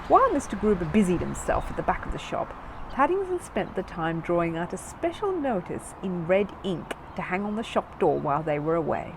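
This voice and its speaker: Low-pitched, animated woman reading a story. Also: a little fast, noisy background. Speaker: storyteller reading a children's book aloud. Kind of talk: scripted narration, children's audiobook.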